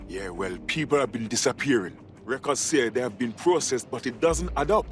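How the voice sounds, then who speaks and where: A man playing a weary but helpful inside informant, low-key, in a video game. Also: expressive, normal speed, faint music behind, lightly acted. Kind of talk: game cutscene dialogue.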